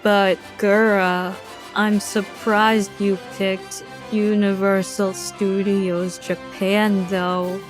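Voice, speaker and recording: A woman acting a blunt, grumpy young female character with a dry, testy manner in an animated fan drama. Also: mid-range voice, extremely slow, faint music behind.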